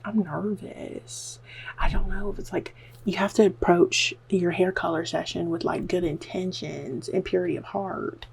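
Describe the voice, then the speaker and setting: A woman with a strong Southern US drawl, talking to her camera at home in a low, gravelly voice with a husky edge. She rambles and jokes about her own nerves, filling her talk with "like". It sounds like a casual home vlog recorded on the camera. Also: fairly even intonation, measured pace. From American South. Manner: chatty, nervous and self-mocking, uses "like" a lot. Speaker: beauty vlogger. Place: at home, self-filmed while dyeing hair.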